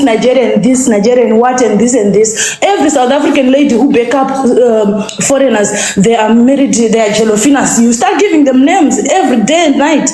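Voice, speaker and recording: A woman from Zimbabwe, scolding someone in a heated rant and at times shouting. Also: sits high. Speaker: social media commentator. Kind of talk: angry online rant. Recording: echoey room.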